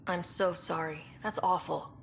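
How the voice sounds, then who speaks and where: An actress playing an earnest, determined young space explorer in a video game, speaking directly and sympathetically. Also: female voice, on the low side, steady tone, a little slow, gravelly, slight background noise. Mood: sympathetic. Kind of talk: video game cutscene acting.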